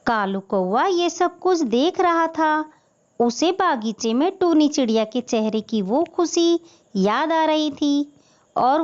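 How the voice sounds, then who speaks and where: Casting: female narrator, scripted cartoon storytelling, slow pace, slightly dull recording. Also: sits high, darkish tone.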